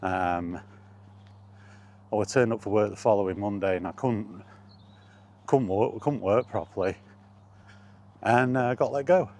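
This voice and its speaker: A man's voice: on the low side, measured pace, pauses often, darkish tone. A middle-aged British man casually rambling through personal memories, as in an informal vlog. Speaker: vlogger.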